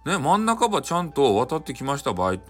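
A man's voice: mid-range voice, big pitch swings, quick pace, faint music behind, voice pushed up, natural voice. A man voicing a clever, cheeky boy monk in a folktale, confident and teasing, with a Hakata accent.

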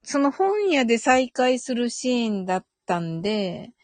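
Giggly woman hosting a casual live chat, kinda high voice, really swoopy pitch, thinks out loud. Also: sing-song, measured pace, dry recording. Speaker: live audio show host.

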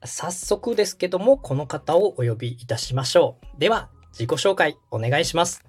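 A man's voice: on the high side, animated, moderate pace, husky. A man in his thirties from Osaka hosting a podcast, explaining things politely, patiently and step by step. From Osaka, Japan. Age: 30s. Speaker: podcast host, radio director.

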